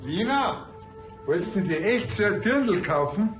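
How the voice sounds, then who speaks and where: An actor playing a naive, easygoing small-town police officer in a TV crime comedy. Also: male voice, high-pitched, sing-song, unhurried. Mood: teasing. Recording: roomy sound with music behind.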